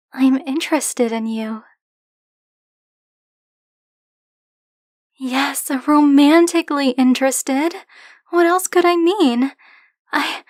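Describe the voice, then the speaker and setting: A woman's voice: high-pitched, darkish tone, no room sound, studio quality. A woman playing a possessive, affectionate dragon-blooded librarian in an ASMR roleplay, speaking coyly and candidly to the listener in a teasing, conversational way. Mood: exasperated, flirtatious. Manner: coy, teasing, conversational, fond pet names.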